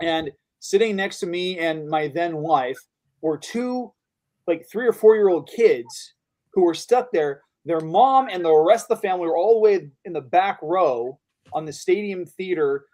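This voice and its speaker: A man's voice: high-pitched, animated, a little slow, a little mellow. A man co-hosting a pop culture podcast, telling an anecdote with dry asides. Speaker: podcast co-host. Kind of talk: casual chat.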